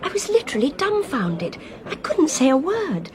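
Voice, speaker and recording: A woman's voice: high voice, wildly swooping pitch, normal speed, a crowd in the background. An actress playing a desperate, earnest young woman with an English accent in a radio drama. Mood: weary recounting. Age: young adult. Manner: earnest, emphatic. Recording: clear train noise behind.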